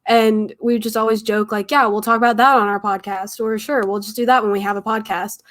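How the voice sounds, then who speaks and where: A woman's voice: medium pitch, steady tone, a little fast, a bit bright. A woman in her twenties from the United States, a college student and podcast guest who chats lightly and laughs easily. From Missouri, United States. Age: 20s. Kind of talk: casual interview chat.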